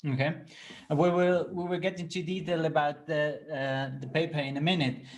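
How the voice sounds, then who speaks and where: A man hosting a conversational interview, speaking haltingly and repeating words as he forms questions. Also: fairly high pitch, little pitch movement. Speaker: interview host.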